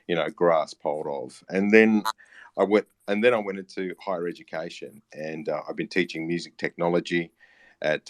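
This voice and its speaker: An Australian man in his late thirties giving a casual interview, halting and matey as he explains his work. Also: sits low. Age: late 30s. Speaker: interview guest, music platform founder and teacher.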